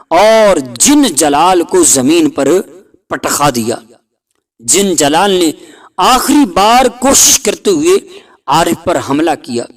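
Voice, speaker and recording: A man with a notably high voice narrates a folk tale. He takes it very slowly and deliberately, giving the story a dramatic delivery.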